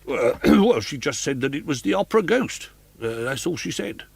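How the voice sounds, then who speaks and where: A man's voice: medium pitch, big pitch swings, moderate pace, gravelly, a little mellow, slightly rough, no room sound, voice pushed up, full character voice. A man voicing a nervous male theatre inspector who splutters and stammers through his explanations. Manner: hesitant, stammering.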